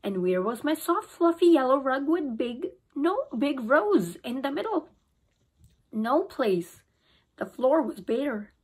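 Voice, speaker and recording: A young woman teacher reading a children's book aloud in an expressive storytelling manner, recorded close on a phone in a small room at home. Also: sits high, slow. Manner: expressive storytelling, occasional restarts. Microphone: phone camera held close.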